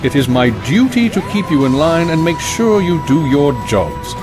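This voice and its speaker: An actor playing a domineering enforcer laying down the law in an audio drama. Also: male voice, fairly high pitch, sing-song, moderate pace, over music. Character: domineering enforcer who declares himself the law.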